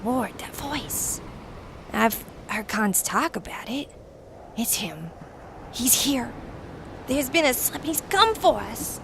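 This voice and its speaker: A woman's voice: fairly high pitch, expressive, takes it slowly, pauses often, heavily acted. An actress playing a hard-bitten, bossy, brash female crook with slangy speech in an old-time radio drama. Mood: frightened. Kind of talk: 1940s radio drama acting. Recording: clear background noise.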